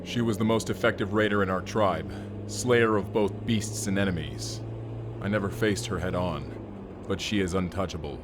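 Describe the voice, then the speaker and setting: A man's voice: deep voice, fairly even intonation, normal speed, noisy background, lightly acted. A man playing a stoic, solemn barbarian warrior in a cyberpunk audio roleplay, speaking tersely and formally. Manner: formal, terse, avoids contractions. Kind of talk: first-person audio roleplay acting.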